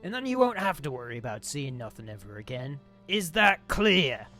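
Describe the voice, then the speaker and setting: A man's voice: fairly high pitch, big pitch swings, normal speed, bright, forceful tone, faint music behind, pitched above the performer's own voice. A man voicing a short, coarse, threatening crime boss who deals in arms, in a villain impression. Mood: angry threat. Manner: menacing, coarse.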